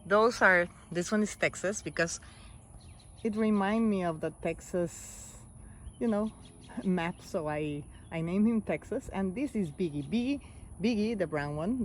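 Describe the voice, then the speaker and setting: A woman's voice: on the low side, measured pace, a little hiss or hum. A middle-aged woman from Mexico, a TV host whose first language is Spanish, chatting openly in English with a Mexican Spanish accent and joking about her own English. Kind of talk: casual vlog chat.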